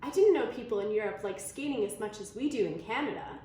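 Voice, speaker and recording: A woman voicing an earnest, excitable young boy in a children's storybook, with expressive delivery. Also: mid-range voice, fast, close to an everyday voice. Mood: excited, amazed. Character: young Inuit-town boy, excitable, easily daunted, earnest. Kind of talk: children's storybook read-aloud. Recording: very echoey room, faint noise.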